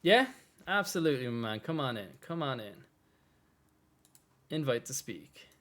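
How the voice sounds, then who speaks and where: A man's voice: medium pitch, sing-song, measured pace, a bit bright. An Irish man hosting a casual open community call on a voice chat, bantering, laughing often and swearing freely. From Ireland. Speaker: host.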